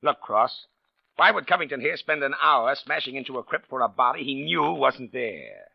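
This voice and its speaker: An actor playing a gruff, skeptical police captain in a 1940s radio drama. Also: male voice, mid-range voice, very animated, normal speed, no room sound, heavily acted. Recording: dull vintage radio recording.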